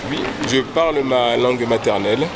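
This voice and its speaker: A man from Benin, answering a street interview hesitantly with many pauses. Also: mid-range voice, over a noisy crowd. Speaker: passerby interviewee. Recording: loud street and traffic noise.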